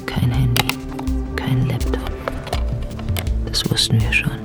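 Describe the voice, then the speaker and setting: A woman playing a methodical, patient police investigator in a German radio drama, thinking aloud in terse, hushed fragments. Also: a bass voice, monotone, slow. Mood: quiet concentration. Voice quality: hushed, breathy murmur. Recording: music behind the voice.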